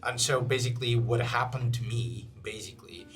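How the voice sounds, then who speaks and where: A man's voice: mid-range voice, moderate pace, fairly bright tone. A young man from Portugal with a Portuguese accent, talking casually to camera in English with many fillers and restarts. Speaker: channel host. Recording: faint guitar music behind. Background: faint guitar music.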